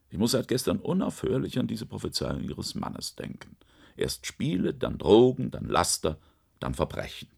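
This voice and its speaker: Gloomy gravelly-voiced cultured official. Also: male voice, lowish voice, full character voice. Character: gloomy, cultured male library official foreseeing decline. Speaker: radio drama actor. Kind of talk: German science-fiction radio play acting.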